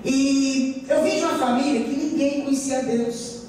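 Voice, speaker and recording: A woman's voice: sits high, fairly even intonation, measured pace, dark tone. A forty-year-old woman preacher with a Goiás Brazilian accent, giving an emphatic, repetitive sermon built on personal testimony. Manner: emphatic, repetitive oratory with rhetorical questions to the congregation. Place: church during a live service. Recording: echoey church hall, distant mic, faint noise.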